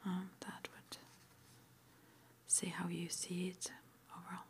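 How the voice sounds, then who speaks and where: A woman playing a calm, gentle witch school interviewer in an ASMR roleplay, whispering softly and reassuringly without hurry. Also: lowish voice. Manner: soft, unhurried, reassuring, with murmured acknowledgements.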